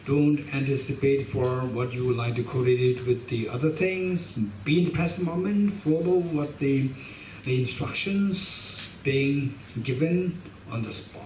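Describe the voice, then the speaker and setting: A middle-aged man with a Tibetan accent teaches a class, emphatic and gesturing, circling back to repeat his phrases. His voice sits at an ordinary pitch and is heard from a little way off the microphone. The hall is echoey, with a faint hiss underneath. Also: a little slow, darkish tone. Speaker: Buddhist teacher. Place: meditation hall with shrine, indoors. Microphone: stand mic in front of him. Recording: roomy hall sound, mic a bit distant, faint hiss.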